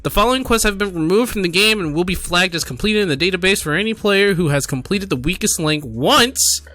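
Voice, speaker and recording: A man's voice: high-pitched, lively intonation, brisk, bright, forceful tone, soft background music. A man giving casual, exasperated gaming commentary while reading posts aloud, repeating himself for emphasis. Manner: exasperated, incredulous, emphatic with repetition. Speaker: gaming streamer and host.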